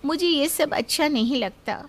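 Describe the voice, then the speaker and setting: A woman voicing a young mother who calmly stands up for her daughter. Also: high-pitched, moderate pace. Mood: earnest.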